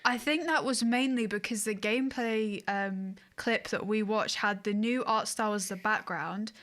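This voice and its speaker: A woman's voice: medium pitch, moderate pace, fairly bright tone. A British woman in her twenties co-hosting a gaming podcast in casual, conversational talk. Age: 20s. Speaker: podcast co-host.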